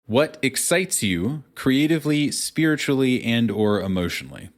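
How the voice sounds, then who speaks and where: A man's voice: medium pitch, big pitch swings, moderate pace. A man hosting a casual interview show, talking in wordy asides with playful mock drama.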